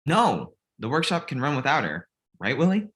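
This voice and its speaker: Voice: mid-range voice, wildly swooping pitch, moderate pace, fairly bright tone. An actor playing a glib, self-absorbed amateur male film director in a comedy table read, breezy and confident. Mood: defensive, breezy.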